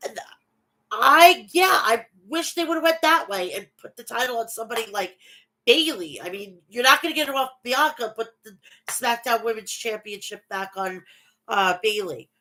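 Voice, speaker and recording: A woman's voice: fairly high pitch, animated, moderate pace, sharp tone, rough recording. Nasal woman with a head cold. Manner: chatty, reads match recaps then talks to viewers. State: stuffy nose from a cold. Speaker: wrestling recap host. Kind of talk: casual wrestling recap and chat.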